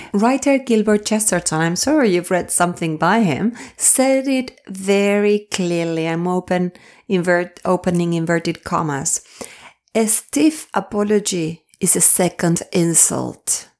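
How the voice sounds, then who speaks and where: A woman hosting a wellness podcast, slightly hoarse, talking directly to listeners in a deliberate, carefully enunciated way. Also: lowish voice, darkish tone. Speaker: podcast host, wellness coach. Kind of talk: podcast talk addressed to listeners, part scripted.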